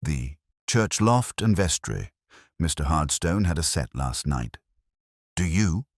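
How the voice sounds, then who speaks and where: A man voicing a gentle, dryly witty police inspector in an audiobook mystery, measured and quietly authoritative. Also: a bass voice, big pitch swings, no room sound, studio quality, full character voice. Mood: dryly wry.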